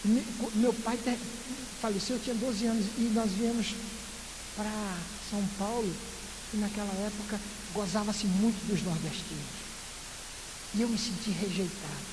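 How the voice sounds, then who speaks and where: Pastor from Recife in northeastern Brazil, high-pitched man, lively sermon with rhetorical questions, long church echo. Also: moderate pace. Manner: lively preaching full of rhetorical questions and playful asides. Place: church sanctuary. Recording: echoey church hall with loud hiss.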